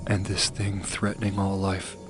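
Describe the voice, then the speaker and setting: Actor as brooding, distrustful man, low voice, monotone, serious, restrained, sci-fi audio drama, loud music. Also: moderate pace, natural voice. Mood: suspicious, demanding. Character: distrustful, brooding, self-sacrificing human man.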